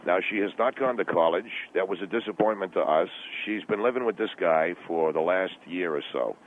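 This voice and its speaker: Man playing low-voiced, defensive businessman dad on phone. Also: a little hiss or hum, lightly acted. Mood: defensive, explaining. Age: middle-aged. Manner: halting, repetitive, defensive. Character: self-justifying, money-minded middle-aged businessman father. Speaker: phone-in guest. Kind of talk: improvised talk radio comedy call. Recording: narrow, tinny phone line.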